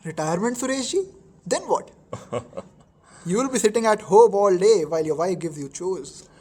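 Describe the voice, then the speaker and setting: Male actor as breezy young bachelor, husky, teasing, radio drama. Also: high-pitched, lively intonation, normal speed, darkish tone. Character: teasing, carefree single young office worker. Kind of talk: amateur radio drama acting.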